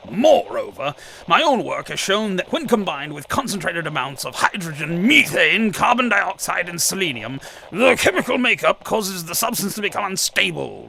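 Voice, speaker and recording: An actor playing a nervous, fussy scientist informant in a radio drama, stammering and formally precise. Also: male voice, a little high, vocal fry, sharp tone, a little hiss or hum, exaggerated voice, poor audio. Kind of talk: old-time radio drama acting.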